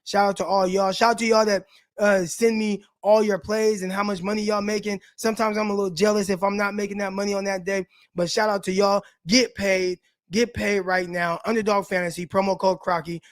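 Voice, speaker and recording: A man with a raspy voice hosting a casual live sports show, talking loosely and with slang straight to his viewers. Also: high-pitched, level tone, fairly quick, dry recording, clean studio sound. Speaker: sports podcast host.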